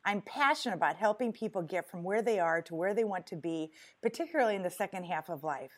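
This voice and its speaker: A woman's voice: lowish voice, lively intonation, fast. A woman in her sixties hosting a podcast interview, enthusiastic as she introduces her guest. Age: 60s. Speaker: podcast host.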